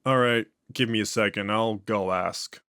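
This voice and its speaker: A man's voice: lowish voice, steady tone, measured pace, a bit bright, dry recording, clean studio sound. Earnest, persistent interrogator, voiced by a man in a horror audio drama. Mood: persuasive. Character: earnest male interrogator at a secure facility.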